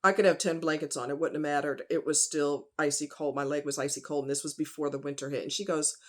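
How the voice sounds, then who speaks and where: A woman's voice: low-pitched, steady tone, fast. A woman vlogger talking casually and directly to her viewers in a folksy, conversational, emphatic way.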